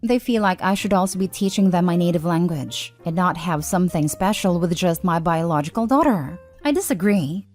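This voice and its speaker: A woman reading online stories aloud as a scripted narration, with measured, neatly enunciated delivery. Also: on the low side, animated, a little mellow. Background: background music.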